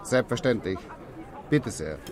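An actor playing an obliging drinks and snack vendor in a German radio play. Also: male voice, lowish voice, animated, a crowd in the background. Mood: chatty. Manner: drawn-out hawking and small talk. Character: street-side drinks vendor, obliging male. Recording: busy crowded outdoor ambience.

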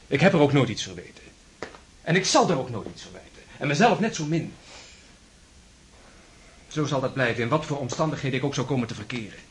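An actor playing a proud, sceptical, disillusioned aristocratic brother in a Dutch radio drama, dry, reserved and firm in manner. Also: male voice, mid-range voice, sing-song, brisk, long pauses, slight background noise, close to an everyday voice. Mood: firm, bitter.

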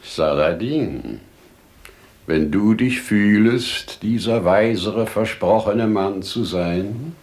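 An older actor playing a wise, humble old merchant in a 1950s German radio drama, with measured, declamatory verse delivery. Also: male voice, on the low side, a little mellow. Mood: probing.

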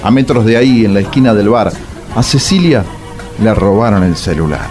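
A man with a Rioplatense Argentine accent narrating a radio drama in a wry, theatrical way, with dramatic pauses. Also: lowish voice, expressive, darkish tone, soft background music.

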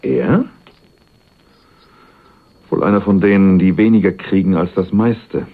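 An actor playing a flirtatious, pushy courier in a German radio crime drama, wry and given to small hesitant stammers. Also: male voice, deep voice, unhurried. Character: flirtatious, pushy male courier passing through town. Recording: muffled phone-like old radio drama, faint noise.

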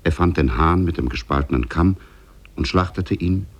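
A man as a solemn storyteller narrating a 1950s radio drama, gravelly and husky. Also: deep voice, moderate pace, a little hiss or hum, natural voice. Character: solemn storyteller of Arabian Nights tales.

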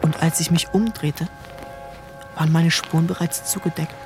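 A young actor narrating in first person as a troubled young man in a literary radio drama, calm and measured. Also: fairly high pitch, over music, natural voice. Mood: reflective. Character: troubled young man looking back on his youth.

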